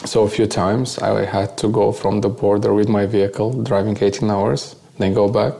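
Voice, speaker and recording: Low, gravelly man with Bulgarian accent. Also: level tone, moderate pace, warm tone. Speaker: interview guest, volunteer. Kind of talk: conversational first-person account.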